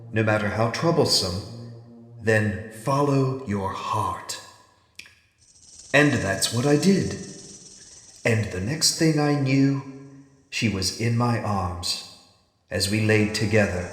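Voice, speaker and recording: Slow, low-voiced brooding scientist acted by a man. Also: fairly bright tone. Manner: measured, brooding, formal, with heavy pauses. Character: ageing, regretful scientist fighting off an alien conditioning in his mind. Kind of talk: dramatic audio drama monologue. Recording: echoey reverberant hall with music underneath. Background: music.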